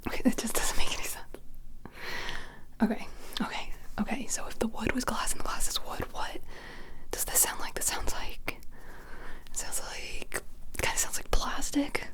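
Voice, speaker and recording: A woman speaks in a breathy ASMR whisper, addressing her viewers directly. Her voice sits fairly high. She sounds flustered, stopping and starting and often repeating her phrases. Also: steady tone, fairly bright tone. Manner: flustered, halting, repeats herself. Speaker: ASMR video host.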